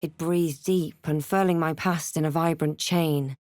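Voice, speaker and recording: A fantasy game adventurer, snarky and courageous, with a slightly low female voice. She speaks deliberately and with theatrical weight, as suited to spellcasting and storytelling. The sound is close and dry, free of room reflections. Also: fairly even intonation, a little mellow, no room sound. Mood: brooding, dramatic. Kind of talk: video game voice lines, dramatic narration and spell chants.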